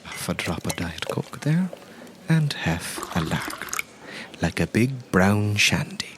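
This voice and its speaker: A man voicing a grieving, chatty old pub landlady of about ninety in a tabletop game, halting and rambling. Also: lowish voice, big pitch swings, background noise. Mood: folksy, chatty.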